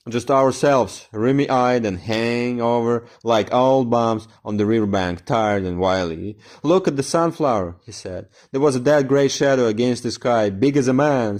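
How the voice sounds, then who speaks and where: A man giving a dramatic poetry reading, moving from low, slow brooding passages to higher, louder, fervent ones. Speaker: poetry reader.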